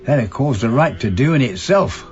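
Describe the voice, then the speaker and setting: Lively old Cockney husband played by an actor. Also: male voice, medium pitch, normal speed, highs cut off, faint music behind, close to an everyday voice. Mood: chirpy, reminiscing. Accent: Cockney London. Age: elderly. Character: devoted, protective, cheeky old Cockney husband. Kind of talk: naturalistic radio drama acting.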